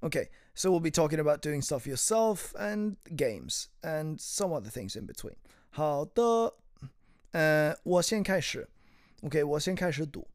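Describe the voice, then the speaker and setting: A woman teaching Mandarin with a lively, swooping voice that rises and falls a lot. She breaks words down piece by piece, moving between English and Chinese. The sound is dry and close, with no room echo. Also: sits low, unhurried. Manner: explains word by word, switching between English and Chinese. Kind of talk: bilingual language lesson chat.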